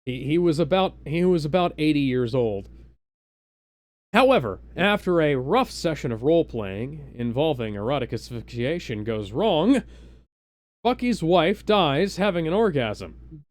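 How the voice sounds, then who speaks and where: Animated man hosting a dramatic reading. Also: fairly high pitch, measured pace, no echo. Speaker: host of a group dramatic reading livestream. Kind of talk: loose live read-aloud with casual asides.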